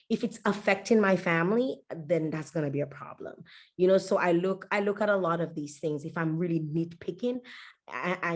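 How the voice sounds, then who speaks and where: Woman from dominica, slightly low voice, animated chatty interview guest, repeats bits for emphasis. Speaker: podcast guest. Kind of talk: conversational interview.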